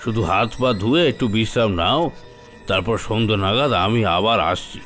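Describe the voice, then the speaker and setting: A man's voice: lowish voice, normal speed, a bit bright, lightly acted. Man playing a fatherly old village headman. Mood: concerned, soothing. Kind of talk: dramatised horror story dialogue.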